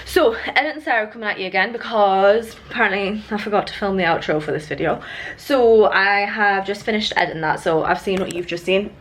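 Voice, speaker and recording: A woman's voice: medium pitch, a little fast, hardly any pauses, fairly bright tone, a little hiss or hum. A young British woman chatting casually to her vlog viewers in an informal, rambling way. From United Kingdom. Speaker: vlogger.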